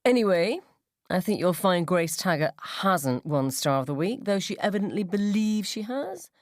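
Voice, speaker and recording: Female reader as clear, confiding school mum for radio story, raised pitch, friendly yet oddly knowing. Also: sing-song, normal speed, no room sound, soft background music. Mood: enigmatic. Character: friendly, enigmatic, over-familiar woman, a schoolgirl's mother.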